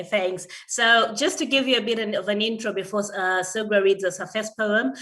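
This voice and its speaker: A woman's voice: medium pitch, bright, forceful tone. Bubbly woman hosting a stage event, mic a bit far off. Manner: upbeat and effusive. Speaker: event host.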